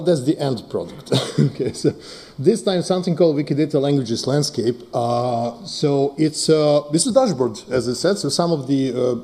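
A man whose first language is Serbo-Croatian, giving a conference talk as a data scientist, halting with many fillers and joking asides. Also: mid-range voice, warm tone. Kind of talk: conference talk with live demo.

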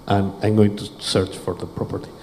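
Low, flat-voiced man in the audience. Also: fairly quick, slight background noise. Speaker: audience member.

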